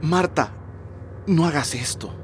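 A man's voice: on the high side, slow pace, slight background noise. A man acting a pleading, hesitant boyfriend in a radio soap. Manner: hesitant, stammering, earnest. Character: pleading, defensive boyfriend in love. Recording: studio radio drama with music behind.

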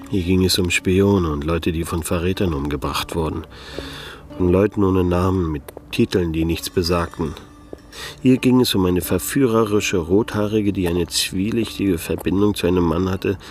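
An actor playing a weary, wary private detective in a radio play, speaking in a dry, terse, sceptical manner. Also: male voice, low voice. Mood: brooding, reflective. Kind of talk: German radio play acting with first-person narration.

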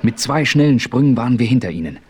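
A man's voice: mid-range voice, expressive, fast talker, darkish tone, husky. An actor playing a resolute, commanding Western adventurer who narrates his story in a 1970s radio play. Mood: tense. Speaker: narrator and lead character. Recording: faintly noisy old radio play recording.

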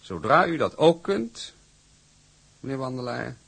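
An older male actor playing a shrewd, imperious company boss with a gravelly, husky voice and a deliberate, patronising manner in a drama. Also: medium pitch, sing-song, a bit bright, exaggerated voice, low-quality recording. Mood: commanding.

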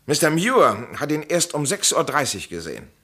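An actor playing an eager, insistent amateur sleuth in a crime radio play, speaking with dramatic emphasis. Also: male voice, a little high, huge pitch swings, normal speed, sharp tone, breathy edge, exaggerated voice. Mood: eager, arguing. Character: insistent amateur sleuth, a male playwright under suspicion. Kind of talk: vintage German crime radio play acting.

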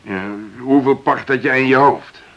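A man's voice: medium pitch, fairly even intonation, normal speed, a bit bright, natural voice. A man acting in a Dutch radio drama as a cautious, conciliatory countryman who speaks hesitantly, on a lo-fi recording. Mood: earnest. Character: cautious, amiable countryman negotiating for his partners.

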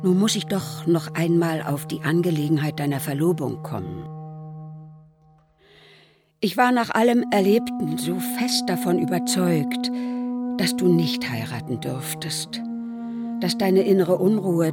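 Woman as older worried mother, deep female voice, grave admonishing letter reading, slow, radio play, music underneath. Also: close to an everyday voice. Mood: grave concern. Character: worried, disapproving older mother of a grown son. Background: instrumental music.

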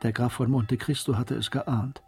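A man narrating a literary radio drama in German, in a scripted storytelling style with a soft-edged voice. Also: medium pitch, steady tone, moderate pace, vocal fry, husky, faint music behind.

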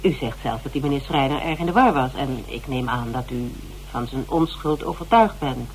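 An actress playing a polite, probing investigator in a radio play, asking questions. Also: female voice, rumbling low, moderate pace, rough recording. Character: polite, probing woman investigator drawing people out. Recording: hum and hiss of old radio recording.

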